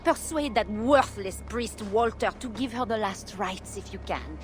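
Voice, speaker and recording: An actress playing a brisk, suspicious medieval servant with a curt, scornful manner in a video game drama. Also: female voice, mid-range voice, slight background noise, close to an everyday voice. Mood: curt, worried. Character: brisk, suspicious medieval female household servant carrying food.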